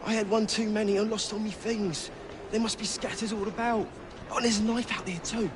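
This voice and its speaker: An actor playing a hapless, pleading street gang member in a video game, with noise behind him. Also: male voice, sits high, little pitch movement, brisk, natural voice. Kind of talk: video game cutscene acting.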